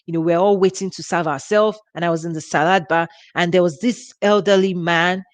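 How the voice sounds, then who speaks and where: A woman's voice: lowish voice, fairly even intonation, brisk, no room sound. A woman with a Nigerian accent, giving an informal teaching talk for her ministry in a chatty way with frequent restarts. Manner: conversational, with frequent restarts and laughs. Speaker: ministry host.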